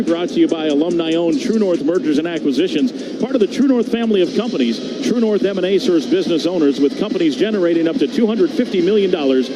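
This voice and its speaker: A man's voice: on the high side, background noise. An American man giving a radio postgame recap, reading out scores and sponsor lines as a sports commentator.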